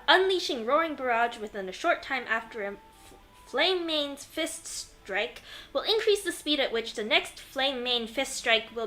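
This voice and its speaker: A woman's voice: a little high, pushed and bright. A woman streaming a video game with casual commentary, playful and given to rambling asides to herself. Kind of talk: casual gameplay commentary, reading game text aloud.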